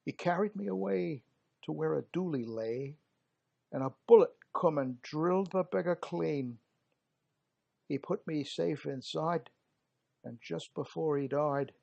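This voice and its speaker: A man reciting dramatic verse as a gruff working-class British soldier of colonial India, theatrical and emphatic, with slangy, clipped word endings. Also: fairly high pitch, unhurried, darkish tone, highs cut off. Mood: grave, fervent. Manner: theatrical, emphatic, dropped g's and slangy phrasing.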